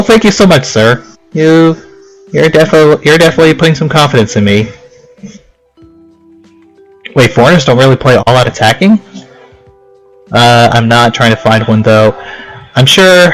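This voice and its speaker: A man's voice: medium pitch, rough recording. Casual male let's play commentator over music. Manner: rambling, reacting aloud with puzzled questions. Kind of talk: casual gaming commentary, reading game text aloud. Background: game music.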